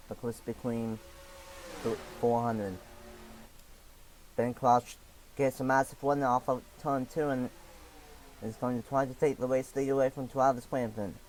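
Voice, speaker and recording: A young man commentates a sim race lap by lap, his voice a little raspy from allergies. He talks in halting bursts full of fillers and restarts, over a faint hum of ambience. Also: medium pitch, darkish tone, low-quality recording. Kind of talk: play-by-play sim racing commentary.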